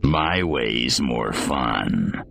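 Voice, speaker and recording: A man playing a cocky, trigger-happy alien invader in a comedy game, with a gravelly growl and sardonic, wisecracking drawl. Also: extremely low pitch, lively intonation, extremely slow, fairly bright tone, exaggerated voice. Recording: noisy game audio.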